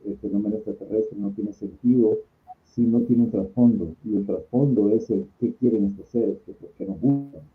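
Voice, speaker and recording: Salvadoran man, phone-like sound, lower voice. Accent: Salvadoran Spanish. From San Salvador, El Salvador. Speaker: invited guest, conference speaker and contact group organizer. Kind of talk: interview answers, explanatory talk. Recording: thin phone-like call audio.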